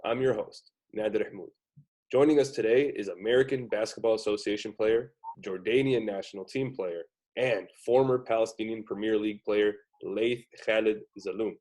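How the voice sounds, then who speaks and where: Host, man in his twenties, warm low voice, easygoing interviewer on a home webcam. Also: moderate pace, poor audio. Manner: casual and friendly, short questions. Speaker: podcast host. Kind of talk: casual interview podcast. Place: room at home, indoors. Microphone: webcam video call.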